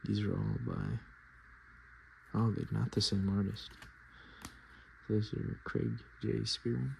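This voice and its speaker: A man softly speaking and whispering in a casual, murmuring ASMR style, as host showing items to the viewer. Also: fairly low pitch, big pitch swings, slow, vocal fry, a little hiss or hum, low-quality recording. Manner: hushed, casual murmured asides. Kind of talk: ASMR, softspoken and whispered card show-and-tell.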